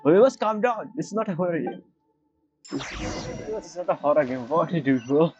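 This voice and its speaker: A man's voice: a little high, animated, normal speed. A man streaming a video game, giving casual reaction commentary over the game. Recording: dull lo-fi audio, game music behind. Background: video game music.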